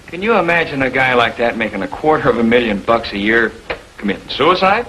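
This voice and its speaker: Police lieutenant, 1940s crime drama. Slangy, skeptical, forceful tone, slightly noisy old film sound. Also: male voice, medium pitch, sing-song, fairly quick. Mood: incredulous. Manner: blunt, slangy.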